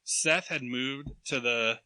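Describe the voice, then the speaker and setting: A man in his thirties co-hosting a podcast, telling animated stories, acting out other people's voices and laughing often. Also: mid-range voice, takes it slowly, no room sound. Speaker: podcast co-host. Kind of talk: casual chat, storytelling.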